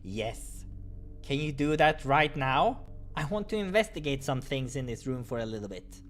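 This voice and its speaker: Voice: medium pitch, very animated, moderate pace, a little hiss or hum, lightly acted. A visual novel narrator voicing a haughty, sassy, impatient male fantasy mage in a curt, condescending way.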